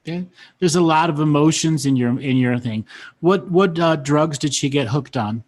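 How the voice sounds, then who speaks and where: A man's voice: a little high, normal speed. A man hosting a live call-in show, friendly and guiding, patiently repeating his questions to callers. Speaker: host.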